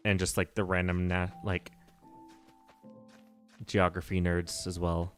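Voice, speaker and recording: A man with a low voice talks loosely through a game livestream. He rambles, stops to correct himself, and laughs easily. Soft music plays faintly behind him. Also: completely flat delivery, measured pace. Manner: rambling, self-correcting, nerdy, laughs often. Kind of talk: casual livestream chat while playing.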